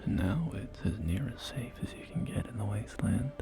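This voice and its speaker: A man playing a folksy post-apocalyptic real estate agent in a whispered ASMR roleplay, with gentle, hesitant, reassuring sales patter. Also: on the low side, animated, fairly quick, creaky. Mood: reassuring, informative. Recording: close whisper over soft music.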